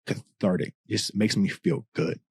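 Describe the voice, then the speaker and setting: A man with a deep voice, a rapper talking as a podcast guest, passionate, swearing often and rambling in long answers. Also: fairly even intonation, unhurried, gravelly, darkish tone, husky, no echo, clean studio sound. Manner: passionate, profane, long-winded. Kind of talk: casual candid chat.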